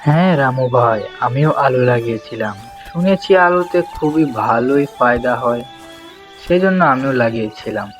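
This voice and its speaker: A man's voice: mid-range voice, lively intonation, moderate pace, close to an everyday voice. A man playing a cheerful, neighbourly animal farmer in a cartoon fable. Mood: cheerful, content. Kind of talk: cartoon dialogue. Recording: music behind the voice.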